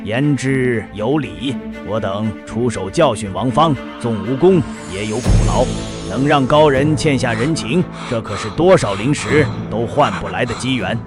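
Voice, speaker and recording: An actor playing a stern old sect elder in an animated drama, speaking formally and imperiously. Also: male voice, medium pitch, a little slow, natural voice. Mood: reverent. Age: older. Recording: music behind the voice.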